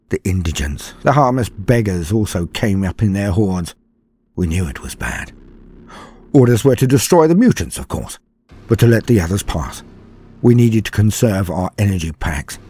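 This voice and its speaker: A man's voice: lowish voice, darkish tone, slightly rough. A man playing a haunted, fearful, weary soldier, telling a scripted war horror story with grave, theatrical delivery. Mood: grim, tense.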